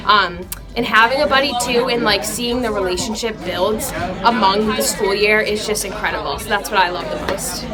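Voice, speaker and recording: A woman's voice: medium pitch, moderate pace, a loud crowd. A young woman speaking casually, chatty and full of "um" and "like". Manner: chatty, filler-heavy with lots of um and like. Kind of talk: casual testimonial.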